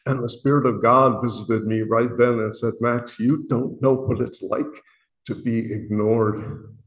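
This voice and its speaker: A man from Illinois with a booming voice, speaking earnestly and self-deprecatingly to a worship meeting, often pausing and restarting his sentences. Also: fairly even intonation, rough recording. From Illinois, USA. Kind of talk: reflective spoken message.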